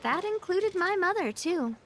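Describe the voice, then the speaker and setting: Casting: young female game heroine, high-pitched, earnest and expressive, a stubborn, wistful leader. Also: a little slow, slight background noise, heavily acted. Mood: wry. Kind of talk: video game character acting.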